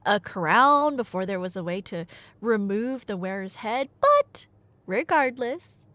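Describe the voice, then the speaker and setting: A woman playing a nerdy girlfriend in an ASMR roleplay, telling Greek myths conversationally with playful asides and dramatic pauses. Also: medium pitch, sing-song, a bit bright, no echo. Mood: playful rambling.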